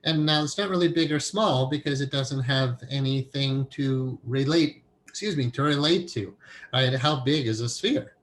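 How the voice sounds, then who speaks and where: Man teaching a relaxed online class. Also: mid-range voice, slight background noise. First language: English. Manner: relaxed and explanatory, thinking aloud with fillers. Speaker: meditation teacher.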